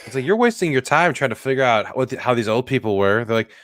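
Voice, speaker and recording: A man's voice: mid-range voice, lively intonation, fast talker, dry recording. A man co-hosting a comedy podcast, joking and riffing in casual chat, now and then slipping into silly put-on voices. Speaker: podcast co-host.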